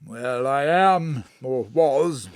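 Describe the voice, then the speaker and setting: An actor playing a genial, self-satisfied Victorian author in an audio drama, with a grand, whimsical delivery. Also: male voice, medium pitch, lively intonation, extremely slow, darkish tone, dry recording. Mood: boastful, playful.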